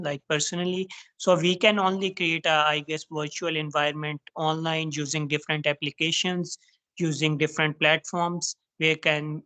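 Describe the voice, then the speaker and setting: A woman's voice: low voice, a bit bright. A woman with a Pakistani accent, a native Urdu speaker, talking plainly with some fillers as a guest in an online English chat. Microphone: video call.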